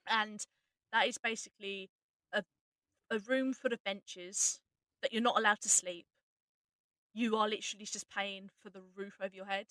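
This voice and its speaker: A woman chatting informally about audio drama as its writer and voice actor, explaining things in a casual, animated way. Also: mid-range voice, moderate pace, long pauses, no room sound, low-quality recording. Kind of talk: casual behind-the-scenes chat.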